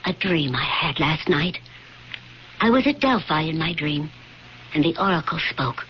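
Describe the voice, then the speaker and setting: A woman's voice: low-pitched, expressive, unhurried. An actress playing a passionate young noblewoman of ancient Pompeii in a radio drama, earnest and pleading in formal diction. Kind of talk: classic radio drama acting. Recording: dull, noisy old radio broadcast.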